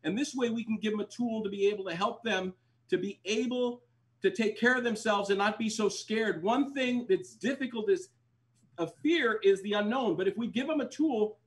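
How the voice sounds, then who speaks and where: Urgent high-voiced man pleading for donations. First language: Spanish. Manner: urgent, pleading, repeats phrases. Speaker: doctor fundraising for clinics. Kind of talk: energetic fundraising appeal. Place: indoors, plain wall, home video call. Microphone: webcam on video call.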